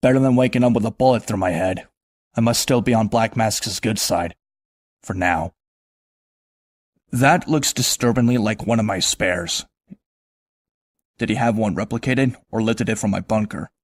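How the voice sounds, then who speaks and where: A man's voice: on the low side, normal speed, pauses often, no echo, close to an everyday voice. A man voicing a brooding young vigilante antihero in a comic-book cartoon, speaking in a dry, sardonic way. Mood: wry, wary. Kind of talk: comic-book cartoon acting with inner monologue. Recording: music behind the voice. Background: music.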